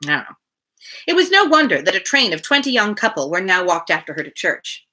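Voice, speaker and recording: A woman with a bright, animated voice reads a classic novel aloud for a livestream. Her delivery is expressive and storylike, with pitch that moves freely, and she slips easily into casual asides. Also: fairly quick. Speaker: reader hosting a daily livestream.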